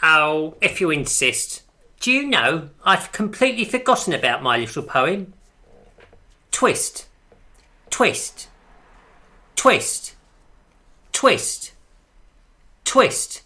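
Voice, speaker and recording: A woman's voice: sits low, sing-song, slow, pauses often, sharp tone, heavily acted. A woman playing the self-regarding leader of a poetry circle in a radio drama, with a pompous, arch manner. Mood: grand, coy.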